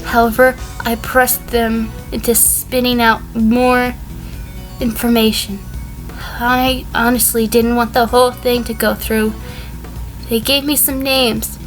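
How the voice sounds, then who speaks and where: A woman playing a wary sheep defendant in a fan audio drama, giving measured, dry testimony. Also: on the high side, level tone, faint music behind, rough recording. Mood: composed, wary. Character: female sheep defendant, a wary, composed former conspirator.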